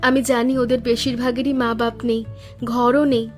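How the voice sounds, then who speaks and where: A kind, motherly woodcutter's wife from a cartoon story, played by a woman. Her voice is clearly high, with a gentle, hopeful and encouraging manner. Soft music plays in the background. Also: level tone, normal speed, natural voice. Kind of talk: Bengali cartoon story dialogue.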